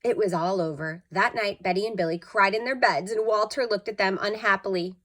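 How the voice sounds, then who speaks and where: A woman's voice: mid-range voice, a little fast, a bit bright. A woman narrating a children's story expressively, with dramatic emphasis, like a school storytime reader.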